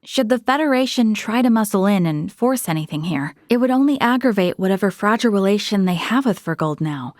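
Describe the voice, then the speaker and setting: A woman's voice: mid-range voice, lively intonation, a little fast, few pauses, dry recording, clean studio sound, natural voice. A woman voicing a principled, stern young female military officer in a video game, with a formal, earnest delivery. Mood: composed, serious. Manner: formal, earnest, crisply articulate. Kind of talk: video game character voice acting.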